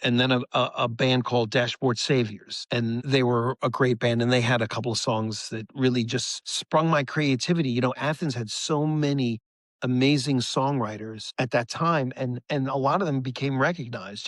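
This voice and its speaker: A man talking casually as a musician guest on a podcast, effusive and halting, with many fillers. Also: mid-range voice, fairly even intonation, no room sound.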